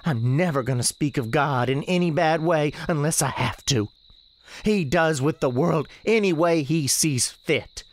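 A woman's voice: sits low, unhurried. A woman playing the restless, defiant ghost of a sixteen-year-old novice nun in a radio drama, earnest and plaintive, with folksy phrasing. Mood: bitter, doomed. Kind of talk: dramatic radio theatre dialogue.

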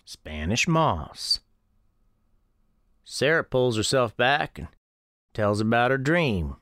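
Radio-tale narrator: mid-pitched, folksy, measured, expressive, very dry recording. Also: no room sound. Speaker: storyteller, first-person narrator. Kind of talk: performed scripted radio storytelling.

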